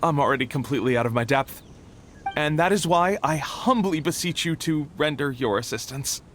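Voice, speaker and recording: A man's voice: on the high side, lively intonation, moderate pace, fairly bright tone, a little hiss or hum. A man playing an earnest, anxious, overly polite young logistics worker in a video game, speaking formally and a little flustered. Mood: anxious, humble. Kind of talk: video game cutscene acting. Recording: music behind the voice.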